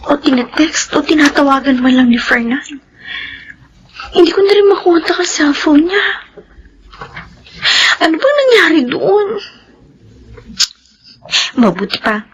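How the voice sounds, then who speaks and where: High-pitched anxious young Filipina mother. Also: a bit bright, a little hiss or hum. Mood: worried. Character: anxious pregnant young widowed mother, tearful and pleading. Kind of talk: Filipino radio drama acting.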